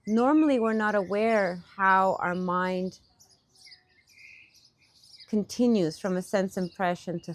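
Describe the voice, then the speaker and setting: A woman leading a guided meditation as a teacher, with gentle, pausing phrasing, speaking outdoors. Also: medium pitch. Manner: unhurried, gentle phrasing with pauses. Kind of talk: guided meditation, softly instructive and partly improvised. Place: outdoors in a park. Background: birdsong.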